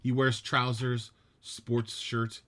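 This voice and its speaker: A man narrating the stage directions of a script reading in an even, matter-of-fact way. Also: mid-range voice, takes it slowly.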